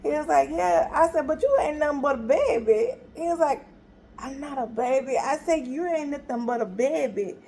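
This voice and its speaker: Talkative woman retelling a story, high voice with some gravel, faint hiss behind her. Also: moderate pace. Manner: animated retelling, acts out both sides with quoted dialogue; talkative, laughing, exasperated. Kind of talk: casual storytime vlog.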